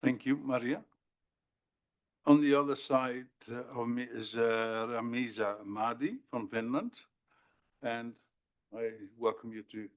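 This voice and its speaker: A man's voice: medium pitch, steady tone, takes it slowly, pauses often. A man moderating a panel, introducing speakers and stumbling over names.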